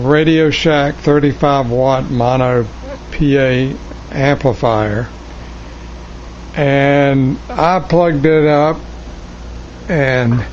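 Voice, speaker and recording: Male hobbyist restorer, unhurried and hesitant, casual workshop demo on a lo-fi camera mic with faint background noise. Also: fairly high pitch. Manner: hesitant, halting, thinking aloud. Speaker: hobbyist restorer of vintage radio gear. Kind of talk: casual, unscripted show-and-tell. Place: cluttered indoor electronics workshop. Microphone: handheld camera mic, behind the lens.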